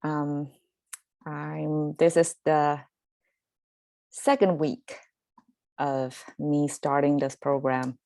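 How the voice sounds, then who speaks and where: A woman's voice: deep voice, level tone, drawn-out words, long pauses, dark tone, no echo. A woman with a Chinese accent hosting a casual language lesson, talking with many fillers and restarts. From Chinese-speaking. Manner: hesitant, lots of fillers and restarts, laughs easily. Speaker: language teacher and show host. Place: home in Southern California.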